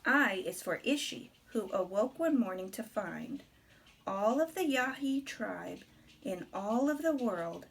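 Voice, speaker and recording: A woman reading a rhyming picture book aloud to a small child in an expressive, deliberate storybook manner, with chatty asides. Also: medium pitch, gravelly, slightly rough.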